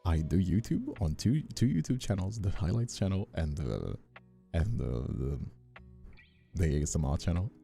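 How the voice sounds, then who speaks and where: A soft-spoken man chatting casually on a livestream as the host, talking in a hesitant, rambling, reassuring way close to the mic. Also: low voice, big pitch swings, moderate pace, vocal fry. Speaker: streamer and ASMR voice actor hosting a live stream. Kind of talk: casual livestream chat with ASMR calm. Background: soft instrumental music.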